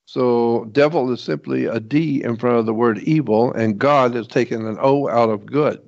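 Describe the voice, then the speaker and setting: A man giving an informal explanatory lecture in a halting, rambling way, repeating points for emphasis. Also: mid-range voice, vocal fry, darkish tone. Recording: dull, lo-fi muffled audio.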